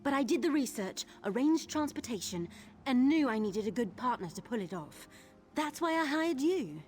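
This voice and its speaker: A woman's voice: high voice, sing-song, moderate pace, a little mellow, faint music behind. A woman playing an eager, nervy young female thief in a video game, chatty and conspiratorial. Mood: focused, eager. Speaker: game character, thief companion.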